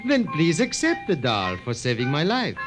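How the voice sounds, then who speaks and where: A suave, quietly menacing doll-maker in a 1950s radio drama. His courteous lines rise and fall with theatrical sweep, and soft music hums behind them. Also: on the high side, wildly swooping pitch, moderate pace. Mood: smooth.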